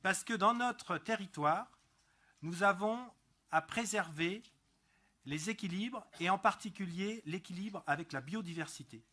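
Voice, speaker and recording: Deliberate, higher-pitched man giving a political speech. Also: pushed and bright. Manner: deliberate, didactic, emphatic repetition. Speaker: local elected councillor. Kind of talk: prepared political speech at a council meeting. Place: council meeting.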